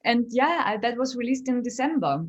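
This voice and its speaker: A woman's voice: on the high side, animated, brisk, dull audio. A woman comedian from Austria, speaking English as a second language in a chatty interview and often laughing as she tells stories. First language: German. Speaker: comedian, guest. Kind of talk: casual interview chat.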